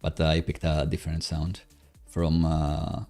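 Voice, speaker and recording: A man live-coding on a stream, casually thinking aloud in a hesitant, halting, self-musing way. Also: a bass voice, level tone. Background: soft background music, computer keyboard typing.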